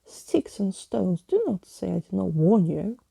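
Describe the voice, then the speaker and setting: A fussy living skeleton gentleman from a storybook, softly put on by a woman. The voice is dark, breathy and very creaky, with wordy, old-fashioned indignation. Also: mid-range voice, very animated, a little slow, exaggerated voice. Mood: exasperated.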